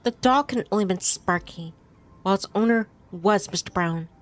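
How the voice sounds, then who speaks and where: A woman streamer reading a visual novel aloud in expressive storytelling narration. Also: medium pitch, normal speed, faint music behind.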